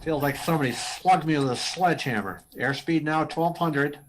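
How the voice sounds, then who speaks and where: Man acting a test pilot, radio drama, fairly high voice, daring, curious, steady, excitable. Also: moderate pace, slight background noise, lightly acted. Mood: focused, reporting.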